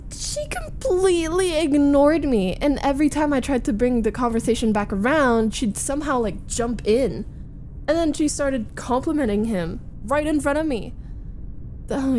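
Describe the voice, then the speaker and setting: Woman acting a slow-talking, frustrated young roommate. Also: high-pitched, mellow, slight background noise. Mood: annoyed, indignant. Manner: halting, sighing, dramatic, emphatic complaining. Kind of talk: ASMR roleplay, intimate first-person monologue.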